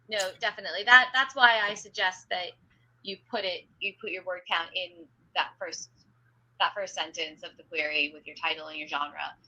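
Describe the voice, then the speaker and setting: A woman's voice: lowish voice, pushed and bright, lo-fi sound. A woman literary agent answering questions in a live online Q&A, chatty, with many ums and restarts. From grew up speaking Spanish and Norwegian at home.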